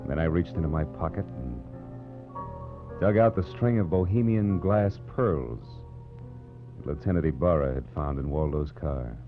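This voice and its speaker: An actor playing a cynical, world-weary private detective, narrating tersely with an American accent in a 1940s radio noir style. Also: male voice, rumbling low, expressive, moderate pace. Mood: brooding, wry. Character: cynical hard-boiled male private detective narrating his case. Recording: muffled, phone-like 1940s radio recording with music. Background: dramatic music.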